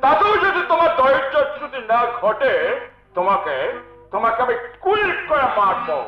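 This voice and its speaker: A man playing an arrogant, lecherous rich villain in a folk theatre melodrama, theatrical and menacing, with drawn-out boasts and English phrases thrown in. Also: extremely high pitch, very animated. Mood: threatening. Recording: echoey, dull recording with music.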